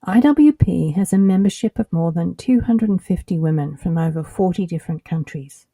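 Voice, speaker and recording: A middle-aged woman with an Australian accent, hosting her own podcast in a measured, earnest scripted narration. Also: on the low side, expressive, dark tone, no echo. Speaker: podcast host.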